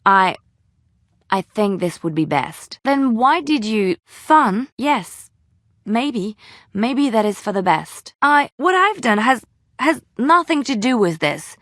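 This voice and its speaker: A woman's voice: on the high side, sing-song, takes it slowly, fairly bright tone, no room sound, clean studio sound, full character voice. A woman playing an earnest, tender-hearted young adventurer in a fantasy video game, speaking hesitantly and sincerely. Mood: hurt, reproachful. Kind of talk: dramatic video game dialogue.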